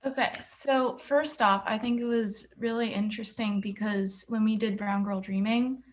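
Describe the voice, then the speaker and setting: A woman's voice: mid-range voice, steady tone, normal speed. A woman participant putting a casual question to a guest in a discussion.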